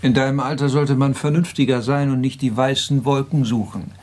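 An actor playing a bitter, mocking drinker in a radio drama, with halting, sardonic delivery. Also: male voice, mid-range voice, steady tone, darkish tone, lightly acted. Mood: evasive, glum. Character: bitter, mocking hard-drinking man in a sour relationship. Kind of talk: naturalistic radio drama acting.

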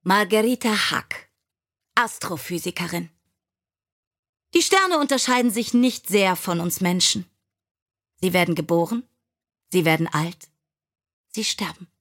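Woman, audiobook narrator, scripted storybook biography, somewhat low pitch. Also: lively intonation, measured pace, pauses often, a bit bright.

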